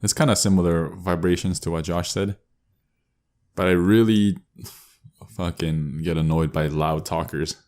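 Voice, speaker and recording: Young man, deep voice, podcast co-host, casual griping, blunt slang, swearing. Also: steady tone, unhurried, darkish tone.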